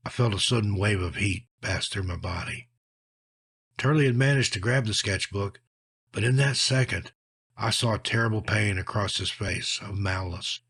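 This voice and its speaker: A man with a fairly deep, rough-edged husky voice reads frightening tales as a narrator. His delivery is scripted, measured and dramatic, with phrases that fall away at their close. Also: fairly bright tone. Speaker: horror story narrator.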